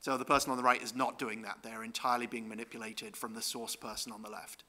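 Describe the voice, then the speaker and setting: Male conference presenter, mid pitch, sharp bright voice, explanatory, lots of ums. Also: little pitch movement, quick pace. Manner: explanatory, with frequent ums and tag questions. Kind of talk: conference talk with slides.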